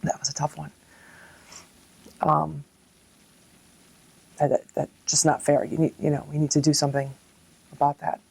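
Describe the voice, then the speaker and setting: A woman speaking reflectively and earnestly in an interview, in a halting way. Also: sits low, monotone, gravelly, darkish tone, slightly rough.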